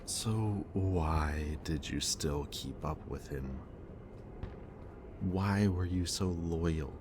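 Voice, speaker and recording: A man speaking a gentle, hesitant roleplay monologue to the listener as a soft-spoken villain. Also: rumbling low, drawn-out words, noisy background.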